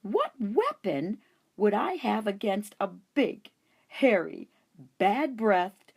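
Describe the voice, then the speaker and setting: A woman narrating a first-person children's story with an animated, playful delivery. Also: medium pitch, slow pace, gravelly, husky. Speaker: audiobook narrator.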